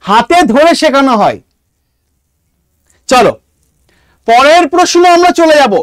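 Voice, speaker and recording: A Bengali man lectures an online exam class in a strikingly high-pitched voice. He addresses students informally and dictates key points, repeating them for emphasis. The sound is dry, with hardly any room in it. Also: sing-song, unhurried, no room sound. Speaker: exam-prep history teacher.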